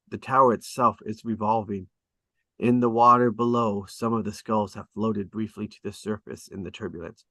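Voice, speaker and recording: A man with a slightly low voice narrates a screenplay's stage directions. He is measured and descriptive, breaking the action into short dramatic phrases. The sound is dry, with very little room in it. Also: pushed and bright, dry recording. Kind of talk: scripted screenplay narration at a table read.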